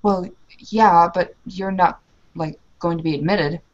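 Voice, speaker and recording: A woman playing an anxious, earnest young woman in a tabletop game, halting and full of questions. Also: on the low side, highs cut off, lightly acted. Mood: puzzled, concerned.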